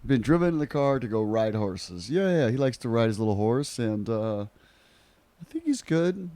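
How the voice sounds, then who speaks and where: A man's voice: mid-range voice, sing-song, normal speed, dark tone, dry recording. A man co-hosting a podcast in casual chat, with a joking, bantering manner. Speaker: podcast co-host.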